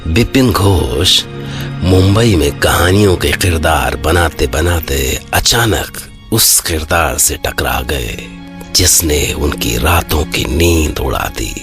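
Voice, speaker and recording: A man playing a grave psychologist who hosts a horror audio drama, narrating in a deliberate, suspenseful way. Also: extremely low pitch, lively intonation, heavily acted. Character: grave male psychologist presenting true eerie accounts. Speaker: host and narrator. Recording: music bed under the voice.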